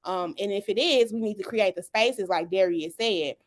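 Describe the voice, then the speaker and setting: A woman's voice: medium pitch, moderate pace. A woman hosting a podcast panel, talking animatedly, repeating herself for emphasis and laughing often. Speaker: podcast host. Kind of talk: casual panel discussion.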